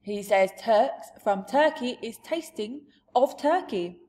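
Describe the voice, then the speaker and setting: A woman reading a storybook aloud, voicing a huge, jolly male giant who booms and shouts in mangled grammar. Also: expressive, slow pace. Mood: booming.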